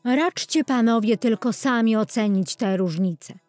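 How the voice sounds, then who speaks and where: A woman's voice: a little high, a little slow, darkish tone, dry recording, soft background music, close to an everyday voice. A woman voicing a pompous, self-assured male court bandmaster who lectures emphatically in a children's storybook. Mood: pompous lecturing.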